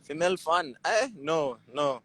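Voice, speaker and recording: A man in his twenties with a Ugandan accent, telling personal stories in casual chat as an interview guest, animated and repeating phrases for emphasis. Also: on the high side, unhurried, no room sound. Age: 20s. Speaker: rugby player, interview guest.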